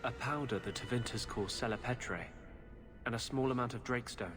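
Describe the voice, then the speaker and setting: An actor playing an earnest, troubled rebel mage in a fantasy video game. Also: male voice, mid-range voice, a little fast, music in the background, natural voice. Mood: earnest, hopeful.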